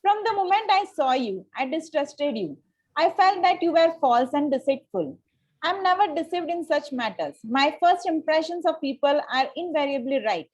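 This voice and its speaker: High-pitched voice, woman as indignant young lady of fashion, precise, imperious, table-read comedy. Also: animated, normal speed, low-quality recording.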